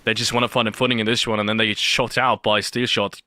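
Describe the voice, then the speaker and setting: A man commentates on an esports match in a bright, forceful voice with a very dry, close sound. He is emphatic and repeats phrases to drive points home. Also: fairly low pitch, level tone, fast, no room sound. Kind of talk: live game commentary.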